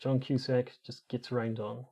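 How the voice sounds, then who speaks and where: A man's voice: mid-range voice, little pitch movement, measured pace, vocal fry, warm tone. A man co-hosting a podcast in casual, bantering chat, with dry, self-deprecating humour. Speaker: podcast co-host. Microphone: lapel mic.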